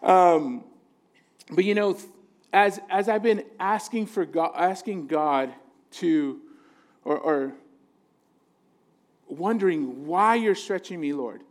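High-voiced man preaching informally. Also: takes it slowly, long pauses. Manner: conversational, jokey, asks the listeners for agreement. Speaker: pastor, guest preacher. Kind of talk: informal sermon to a congregation.

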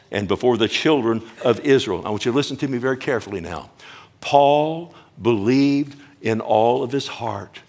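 A pastor preaching a sermon. He swells loud on the quoted promises, drops low on asides and calls for an amen. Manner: asks the congregation for an amen.

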